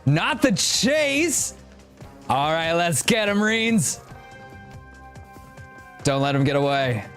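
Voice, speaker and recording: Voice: medium pitch, sing-song, slow, a bit bright. A game streamer giving casual live commentary with playful reactions and exclamations. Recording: game music behind the voice. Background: video game music and sounds.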